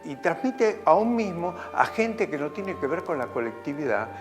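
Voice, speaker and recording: A man's voice: fairly high pitch, sing-song, moderate pace, over music. A man speaking in an interview in a conversational way, sometimes searching for words.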